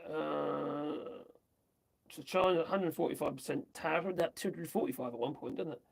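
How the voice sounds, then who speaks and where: A man from the UK, chatting his way through the news on a livestream, rambling and tossing in tag questions, his voice going hoarse. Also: on the high side, normal speed. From United Kingdom. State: losing his voice. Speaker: livestream host. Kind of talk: casual commentary on news.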